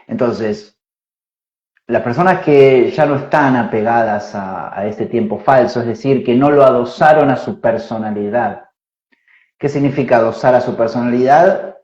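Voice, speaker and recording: Unhurried man talking in a roomy space. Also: medium pitch, darkish tone. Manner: unhurried, thinking aloud with many fillers and tag questions. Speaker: spiritual teacher answering questions. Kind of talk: reflective explanatory talk. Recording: roomy, dull lo-fi sound.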